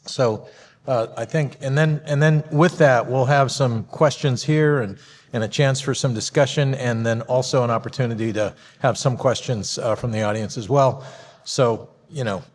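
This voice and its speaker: A man moderating a conference panel, introducing the speakers with many halting fillers. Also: mid-range voice. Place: conference hall. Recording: reverberant hall.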